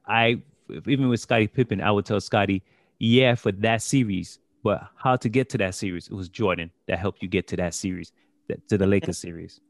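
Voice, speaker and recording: Low-voiced man talking sports. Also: dry recording. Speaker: podcast panelist. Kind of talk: casual sports discussion.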